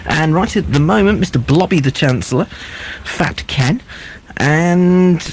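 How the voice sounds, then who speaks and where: Joky radio host guy, kinda high voice, echoes the caller back. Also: lively intonation, unhurried, husky. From Merseyside. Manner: jokey, repeats the caller's words back. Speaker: late-night radio phone-in host. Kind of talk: casual phone-in chat.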